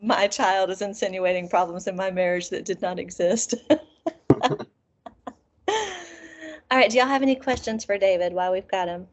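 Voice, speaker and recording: A woman, a music teacher hosting a workshop on a video call, telling stories in an animated way. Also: mid-range voice, moderate pace, long pauses. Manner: animated storyteller, laughs easily. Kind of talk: casual video call conversation. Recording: dull lo-fi video call audio.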